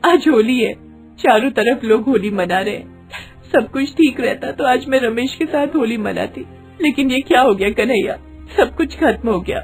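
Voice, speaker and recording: A woman's voice: fairly high pitch, lively intonation, moderate pace, faint music behind, pitched above the performer's own voice. A woman voicing a destitute, devout young pregnant wife in a plaintive, beseeching way for a story. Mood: sorrowful.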